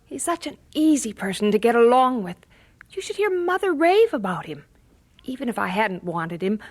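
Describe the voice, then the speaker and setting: A high-voiced young bride. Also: female voice. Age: young adult. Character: cheerful, affectionate young missionary bride, planning wedding. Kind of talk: audio drama acting.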